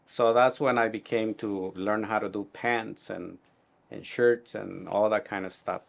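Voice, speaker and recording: A middle-aged man speaks English with a Guatemalan Spanish accent in a fairly low voice. He answers interview questions conversationally, pausing and reflecting as he goes. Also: steady tone, vocal fry. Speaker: tailor being interviewed.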